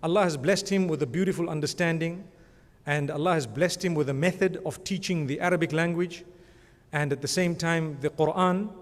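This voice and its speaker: A man presenting an award, speaking in a rambling, conversational way and often adding religious blessings. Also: on the high side. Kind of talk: speech at an awards ceremony. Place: large conference hall. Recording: long hall reverb.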